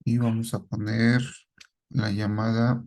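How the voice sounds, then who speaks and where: A middle-aged man teaching a programming class, quizzing students and checking in with a quick "¿sí?" after each point. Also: on the low side, level tone, darkish tone. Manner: asks the class questions and repeats their answers, ends lines with a checking "¿sí?". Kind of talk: live classroom lesson.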